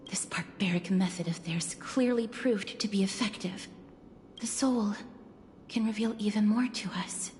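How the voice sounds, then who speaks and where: An actress playing a solemn, gentle young priestess who guides souls in a video game, speaking in measured, incantatory phrases. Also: female voice, mid-range voice, slight background noise, natural voice. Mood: calm, weary.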